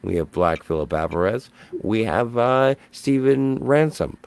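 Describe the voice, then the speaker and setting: A man's voice: medium pitch, animated, measured pace, creaky, darkish tone, clean tone. Blunt rambling male show host. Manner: blunt, rambling, jokingly self-important. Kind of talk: casual panel chat.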